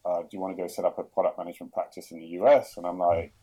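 A middle-aged man with a London English accent, speaking in a low, very dark and mellow voice. He talks casually in a podcast interview, hesitating often and circling back with fillers as he gathers his thoughts. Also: flat delivery, very fast. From England. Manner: hesitant, many fillers and restarts. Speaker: guest, product executive.

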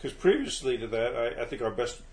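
A man's voice: mid-range voice, big pitch swings, brisk, a little mellow. Easygoing older man, echoey room. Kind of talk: casual family chat.

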